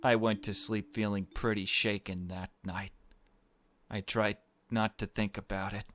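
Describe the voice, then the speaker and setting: A man's voice: on the low side, flat delivery, unhurried, a bit bright. A man reading the part of a chatty, morbid young girl who narrates a visual novel. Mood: subdued.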